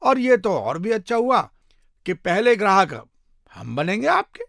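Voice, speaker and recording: A man's voice: high voice, sing-song, measured pace, vocal fry, a bit bright, no echo, clean studio sound, voice pushed up, exaggerated voice. A man voicing a chatty neighbourhood man in a moral story, emphatic and familiar in how he addresses people. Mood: pleased, eager.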